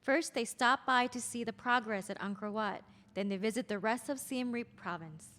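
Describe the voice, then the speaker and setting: A woman's voice: a little high, moderate pace, fairly bright tone. A woman narrating scripted stage directions at a play's table read. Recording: long reverberant hall echo.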